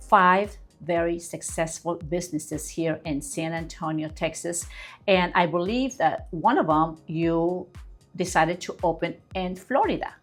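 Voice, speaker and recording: A woman hosting a podcast interview in a studio, gushing and effusive, heaping compliments on her guest. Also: fairly low pitch. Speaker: podcast host.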